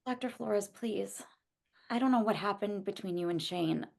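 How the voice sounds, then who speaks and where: Table read: woman as earnest young student teacher, gravelly fry, medium pitch. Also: moderate pace, darkish tone. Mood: earnest, pleading.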